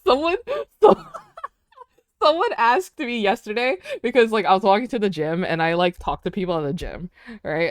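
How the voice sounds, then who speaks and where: A woman's voice: on the low side, no echo, studio quality. A woman in her twenties chatting casually while drawing on a stream, rambling, laughing often and saying "dude" and "like". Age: 20s.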